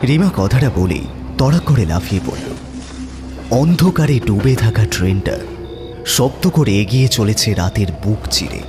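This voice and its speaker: A man narrating a horror story in a suspenseful, theatrical manner with dramatic pauses. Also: lowish voice, animated, music in the background.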